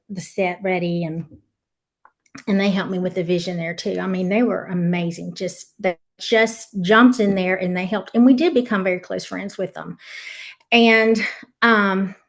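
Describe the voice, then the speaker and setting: A woman from Tennessee talks as a podcast guest, looking back on her years leading a camp. She speaks earnestly at a middle pitch, often pausing on ums and restarting her sentences. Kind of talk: reminiscing interview.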